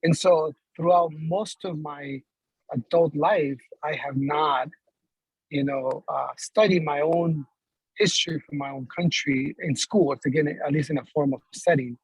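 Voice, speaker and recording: Male, from Ecuador, raspy and a little high, informal group sharing, close dry sound. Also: fairly even intonation, measured pace, no echo, muffled recording. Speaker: workshop participant.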